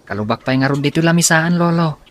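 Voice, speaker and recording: A man's voice: on the high side, fast, close to an everyday voice. An actor playing a caring grandson in an Ilocano radio drama, patiently coaxing his elder.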